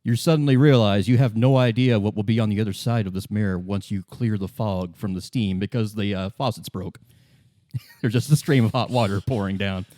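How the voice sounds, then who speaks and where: A man's voice: lowish voice, brisk, mellow, dry recording. Dry-recorded male game master narrating. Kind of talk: narrating a tabletop role-play, conversational.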